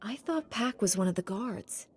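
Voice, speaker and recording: A woman's voice: mid-range voice, animated, moderate pace, slightly rough. A woman playing a resolute young heroic knight in a video game, confident and direct. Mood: calm, assured.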